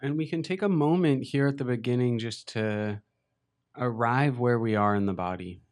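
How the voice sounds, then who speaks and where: Smooth-voiced man guiding a meditation. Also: mid-range voice. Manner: slow, gentle, unhurried guidance with long pauses. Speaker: meditation teacher. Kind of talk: guided meditation.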